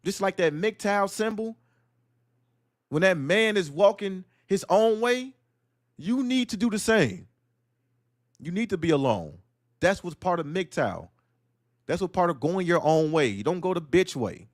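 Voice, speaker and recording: A man's voice: sits high, sing-song, a little slow, long pauses, no room sound, studio quality. Blunt preachy man ranting solo. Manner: preachy, blunt, hammering rhetorical questions. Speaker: livestream host. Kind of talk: solo livestream monologue.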